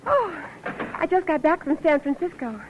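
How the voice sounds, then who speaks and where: A woman's voice: high voice, animated, moderate pace. A woman playing a trusting, eager sweetheart in 1940s radio drama style, with an earnest, breezy manner. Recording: muffled old radio with faint noise.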